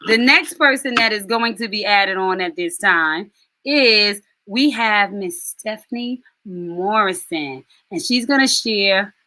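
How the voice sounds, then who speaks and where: A middle-aged woman hosting a live online stream, chatty and affectionate, with quick asides. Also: medium pitch, sharp tone, poor audio. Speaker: host and soul mentor.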